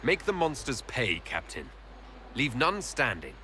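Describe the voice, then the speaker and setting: An actor playing a stern military leader in a video game, speaking in terse, commanding phrases. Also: male voice, medium pitch, huge pitch swings, unhurried, pushed and bright, full character voice. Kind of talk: video game dramatic acting. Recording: game audio with faint ambient noise.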